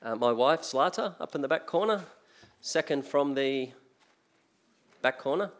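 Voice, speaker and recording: A man's voice: medium pitch, expressive, unhurried. An older man with an Australian accent gives a sermon from a church pulpit, chatty and full of restarts and fillers. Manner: conversational, many restarts and fillers. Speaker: guest preacher. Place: church sanctuary, speaking at a pulpit. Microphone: pulpit mic.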